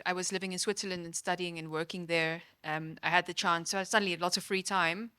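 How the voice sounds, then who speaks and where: A woman, a viola da gamba player, telling her background in a slow, chatty way. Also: fairly low pitch, bright, forceful tone, clean tone.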